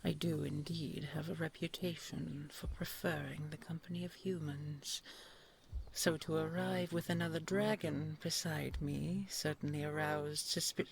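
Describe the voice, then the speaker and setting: A regal dragon queen voiced by a woman speaks very slowly in a soft, creaky near-whisper. She is tender and loving, pausing gently as she consoles her beloved in an intimate bedtime roleplay, with faint noise behind her. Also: deep voice, steady tone. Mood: weary, tender. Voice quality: soft, breathy, often whispered. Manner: gentle, halting, unhurried endearments. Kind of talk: intimate audio roleplay, sleep-aid storytelling.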